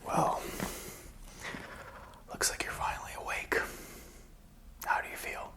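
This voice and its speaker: A man playing a wary but helpful apocalypse survivor in a whispered, soft-spoken ASMR roleplay, breathy, hesitant and conversational. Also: slow pace. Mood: awkward, mildly offended. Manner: hesitant, low-key, conversational. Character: wary, helpful man sheltering a stranger during zombie apocalypse.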